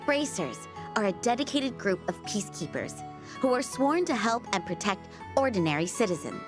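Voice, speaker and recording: Peppy teen heroine, voiced by a woman, wide lively pitch, game track with clear background music. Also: moderate pace, close to an everyday voice. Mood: upbeat. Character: spirited teenage girl heroine, cheerful and determined. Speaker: game character narrating a recap. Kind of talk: scripted game voice acting. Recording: game audio with music underneath.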